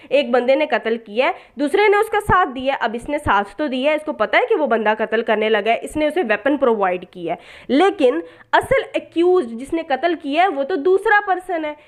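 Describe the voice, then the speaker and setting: High-pitched woman teaching law. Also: expressive, normal speed. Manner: poses questions and answers them, repeats points; mixes in English legal terms. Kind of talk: explanatory lecture in plain teaching talk.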